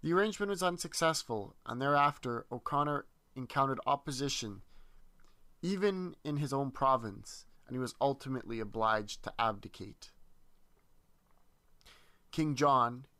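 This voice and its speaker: A man's voice: mid-range voice, animated, moderate pace, pushed and bright, no echo. Man reading history aloud, dry sound. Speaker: history presenter.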